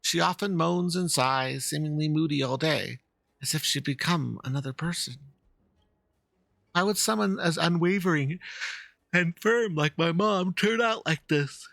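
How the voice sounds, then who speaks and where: A man voicing an earnest, worried young fox-girl in halting, polite speech, reading out video game dialogue. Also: a little high, gravelly, a bit bright, dry recording.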